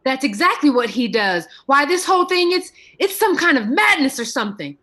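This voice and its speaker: A woman's voice: high-pitched, sing-song, fairly quick, fairly bright tone. A woman playing a distressed, earnest neighbor in a radio drama, speaking in a fretful way. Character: distressed, earnest female neighbor defending a friend. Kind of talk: radio play acting.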